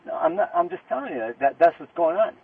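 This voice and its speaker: A man under arrest talking informally with police, insistent and protesting, halting and often repeating himself. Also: on the high side, sing-song, creaky. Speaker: arrested murder suspect. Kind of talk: informal back-and-forth with police during arrest. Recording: noisy, tinny police audio recording.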